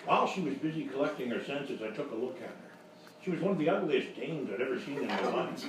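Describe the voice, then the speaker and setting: Man as a hard-boiled private eye, noir narration, blustering deadpan, radio comedy, very echoey distant live recording. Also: medium pitch, fast, background noise, natural voice. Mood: disdainful. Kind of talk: parody of old radio detective drama.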